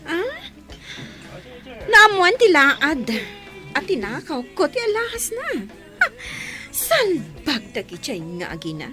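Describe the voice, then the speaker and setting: An actress performs a wronged young woman in a radio melodrama. Her voice is very high and bright, drawn out slowly with distraught defiance. Music plays behind her. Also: wildly swooping pitch, heavily acted. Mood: bitter, indignant. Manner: melodramatic, shrill outbursts. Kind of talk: radio drama acting.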